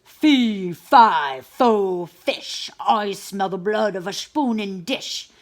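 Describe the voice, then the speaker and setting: A woman doing a storybook giant who booms a slow chant, loud and drawn out. His voice rolls and swoops over each word. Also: lively intonation. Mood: menacing. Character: booming distant male giant.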